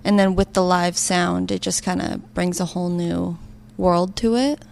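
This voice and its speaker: A woman's voice: fairly low pitch, steady tone, unhurried, gravelly, a little mellow. A young woman giving casual interview answers, informal and sprinkled with filler words like "kind of". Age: young adult. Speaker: student interviewee, live sound operator.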